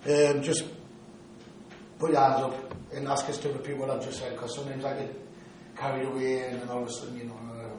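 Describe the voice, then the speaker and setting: A man's voice: mid-range voice, level tone, brisk, darkish tone, distant mic, a little hiss or hum. A man from Newcastle with a strong Geordie accent, giving a prepared talk on a paranormal case to an audience. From Newcastle upon Tyne, England. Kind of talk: lecture to an audience. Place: lecture hall. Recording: very echoey hall, recorded from afar.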